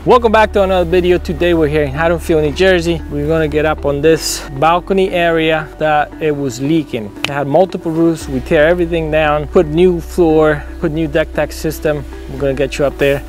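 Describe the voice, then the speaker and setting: A man, a roofing company owner giving an energetic, informal vlog walkthrough on a rooftop. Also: fairly high pitch, brisk, hardly any pauses, soft background music. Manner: energetic, informal, demonstrating with tools. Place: outdoors on a residential rooftop. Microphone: lapel.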